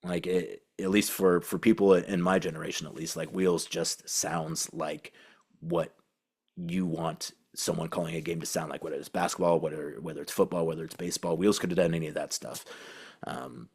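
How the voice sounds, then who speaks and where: A man with a low-pitched voice talks as a sports podcast host. His delivery is casual and reflective, rambling along with halting phrases and many verbal fillers. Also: steady tone, darkish tone, slightly rough.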